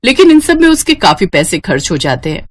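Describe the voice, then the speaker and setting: Woman narrating a story, medium pitch. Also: big pitch swings, fairly quick.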